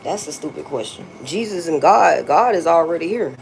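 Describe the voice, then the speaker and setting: A woman in her forties talking live to her viewers from outside on a phone, with a low voice and faint noise around her. She is blunt and repetitive, swearing freely and laughing often. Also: lively intonation, moderate pace, creaky, a little mellow, rough recording. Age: 40s. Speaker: livestreamer. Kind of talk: casual live-stream chat with viewers. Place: outdoors.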